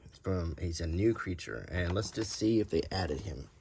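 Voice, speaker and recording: A man hosting an unscripted show-and-tell, talking casually and thinking aloud in a rambling, unhurried way. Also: deep voice, animated, creaky, darkish tone, a little hiss or hum. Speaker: host showing vintage game cards.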